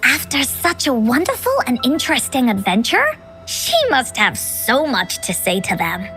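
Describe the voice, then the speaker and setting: A woman voicing a chirpy, imaginative little girl storyteller in a video game, with a childlike, expressive delivery. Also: on the high side, moderate pace, over music, poor audio. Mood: pensive. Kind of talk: scripted video game dialogue.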